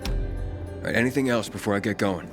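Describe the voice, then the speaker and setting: A man's voice: fairly low pitch, normal speed, over music, lightly acted. An actor playing a guarded, impatient young male survivor in a video game, with a terse, questioning manner. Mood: guarded, curious.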